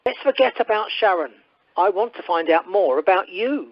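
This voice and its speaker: A woman's voice: mid-range voice, animated, normal speed, slightly rough, no room sound. A woman playing a charming, forward male suitor in an amateur radio play recorded by telephone. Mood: smooth, reassuring. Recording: muffled telephone conference line.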